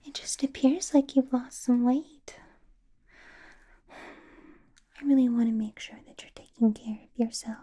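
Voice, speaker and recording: A woman playing a possessive, doting vampire boss in an ASMR roleplay, whispering in a breathy, teasing, intimate way. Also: a little high, fairly even intonation, warm tone. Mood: doting concern. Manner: slow, teasing, intimate personal-attention delivery.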